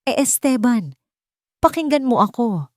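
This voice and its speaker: Woman playing an indignant, haughty older mother-in-law, pitched up. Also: sing-song, a little slow, dry recording, clean studio sound. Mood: pleading, stammering. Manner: indignant, stammering when cornered.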